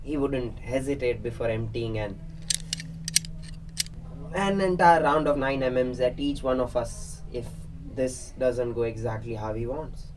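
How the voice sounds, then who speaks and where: A man's voice: mid-range voice, unhurried, natural voice. A man playing a flippant, sweary lab assistant in a radio play, talking in a casual, dismissive way. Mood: irreverent griping. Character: crude, flippant lab assistant briefing experiment volunteers. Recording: distant mic, faint background noise.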